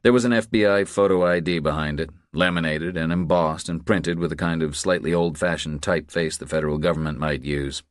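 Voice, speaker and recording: A man's voice: very deep voice, fairly even intonation, a little fast, hardly any pauses, no echo, studio quality. A man reading scripted thriller narration as an audiobook narrator.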